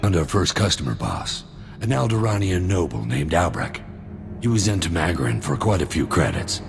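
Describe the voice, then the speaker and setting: An actor playing a cynical, greedy mercenary soldier and arms dealer in a video game, with a gravelly voice and a wry, laid-back manner. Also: male voice, sing-song, normal speed, music in the background, exaggerated voice. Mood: pleased, confiding. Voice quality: very deep, gravelly. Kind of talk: video game cutscene dialogue.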